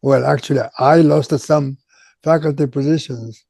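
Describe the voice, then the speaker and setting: An older man, a native Korean speaker with a Korean accent, recalling his career as a retired chemistry professor in an oral history interview, speaking haltingly with many pauses and repeated words. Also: a little high, fairly even intonation, creaky, mellow, no room sound. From Japan.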